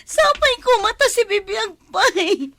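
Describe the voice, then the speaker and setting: A woman's voice: extremely high pitch, lively intonation, a little slow, a bit bright, dry recording, exaggerated voice. A woman with an Ilocano accent playing a distraught young daughter pleading with her father in a radio drama, tearful and urgent. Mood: panicked, crying.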